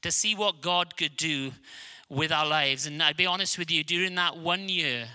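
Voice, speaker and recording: A middle-aged Welsh man preaching a sermon, earnest and emphatic, dropping low and slow on key lines. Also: pushed and bright, smooth. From Wales. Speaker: guest preacher.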